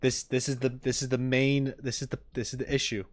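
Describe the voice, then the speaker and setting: A man reacting casually on a livestream, wry and halting, often repeating his phrases. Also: medium pitch, completely flat delivery. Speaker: streamer reacting to game audio.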